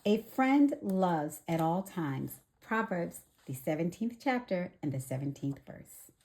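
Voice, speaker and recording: A woman reading a children's story aloud in a deliberate, expressive narrating style. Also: fairly low pitch. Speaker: storybook reader.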